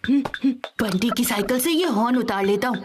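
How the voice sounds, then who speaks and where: A woman voicing a competitive, scheming young boy in an animated children's comedy, with exaggerated, boastful delivery. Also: fairly high pitch, moderate pace, background noise, natural voice. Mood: scheming, fretful. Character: young boy Chiku, competitive, scheming, eager-to-please big brother. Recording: studio voice under loud cartoon music.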